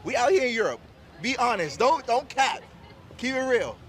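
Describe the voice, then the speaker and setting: A man's voice: very high voice, sing-song, normal speed, a bit bright. A man from the United States interviewing people on the street, joking around and pressing them to answer honestly.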